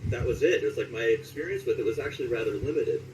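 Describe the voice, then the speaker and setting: A man from Southern California talking casually as an interviewed voice actor, telling anecdotes in an animated way and acting out reactions. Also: medium pitch, fast talker, recorded from a distance, slight background noise, low-quality recording.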